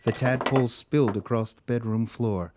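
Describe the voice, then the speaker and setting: A man narrating a scripted story in a dramatic, deliberate storytelling manner. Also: mid-range voice, fairly even intonation, background noise.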